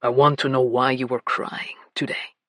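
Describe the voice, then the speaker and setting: A woman voicing a commanding, possessive grown man who speaks as a low, coaxing murmur. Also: flat delivery, normal speed, dry recording, studio quality.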